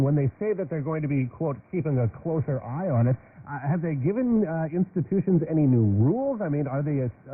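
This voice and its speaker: A man hosting a radio news programme, presenting and interviewing in a conversational way, heard on shortwave radio. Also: on the high side. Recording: noisy, narrowband shortwave radio broadcast.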